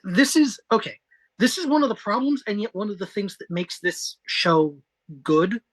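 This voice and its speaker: A woman's voice: medium pitch, lively intonation, normal speed, poor audio. A woman co-hosting a review podcast, chatting in an emphatic, opinionated way with frequent interjections. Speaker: podcast co-host. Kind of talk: animated review chat.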